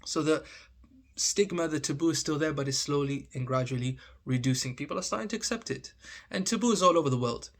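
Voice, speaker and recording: A man's voice: fairly high pitch, brisk. A man in his thirties from Oman with a British accent, chatting casually to camera in a vlog, with many fillers and restarts. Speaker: vlogger.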